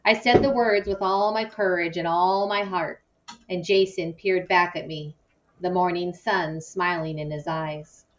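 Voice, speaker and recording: A woman with a fairly low voice reads a children's novel aloud with expression. She sounds a little way from the microphone, and the recording is slightly muffled. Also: a little slow, far from the mic, a little hiss or hum. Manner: animated, acts out dialogue. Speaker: audiobook-style reader.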